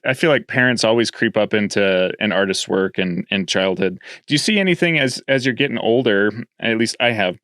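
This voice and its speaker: A man's voice: medium pitch, a bit bright, dry recording, studio quality. A man in his late thirties hosting a podcast, asking long, winding questions full of restarts. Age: 30s. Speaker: podcast host. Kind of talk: casual podcast interview.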